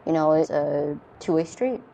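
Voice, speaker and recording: A man's voice: a little high, expressive, normal speed, vocal fry, dark tone, muffled recording, a little hiss or hum. A young man from China, adopted young, speaking reflectively in a documentary interview, often saying "you know".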